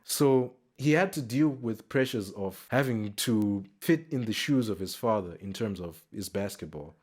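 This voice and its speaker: Young man, lowish pitch, reaction host, casual commentary, measured, reflective, trailing sentence endings. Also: darkish tone. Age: young adult.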